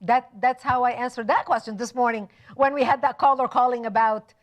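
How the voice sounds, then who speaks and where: A woman's voice: fairly high pitch, fairly even intonation, fairly quick. A woman from Lebanon, speaking as a guest in a TV studio interview, with passionate, emphatic lists. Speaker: guest author.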